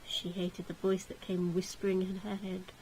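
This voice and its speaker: A woman's voice: on the low side, completely flat delivery, normal speed, darkish tone, a little hiss or hum, low-quality recording. A woman reading a gothic visual novel aloud as narrator, in a dramatic storytelling style.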